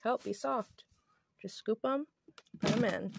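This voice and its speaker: Woman in her thirties, fairly high voice, chatty, informal homestead vlogger, outdoor phone recording. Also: measured pace, gravelly, noisy background. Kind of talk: casual how-to vlog. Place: outdoors on a home porch. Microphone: phone at arm's length or handheld.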